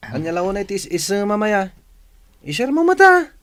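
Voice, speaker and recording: A man's voice: high-pitched, wildly swooping pitch, normal speed, a bit bright, no room sound, studio quality, heavily acted. A man playing a cheeky, boastful young village schemer in a radio comedy drama, teasing and exclaiming.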